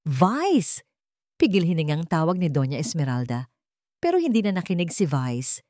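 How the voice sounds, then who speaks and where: A woman's voice: deep voice, sing-song, brisk, mellow, dry recording, clean studio sound. A woman giving a scripted, dramatic narration of a romance story.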